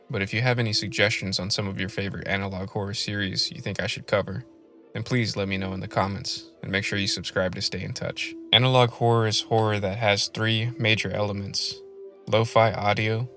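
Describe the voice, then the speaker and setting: A man hosting a scripted film review video essay in measured narration. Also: lowish voice, bright, forceful tone.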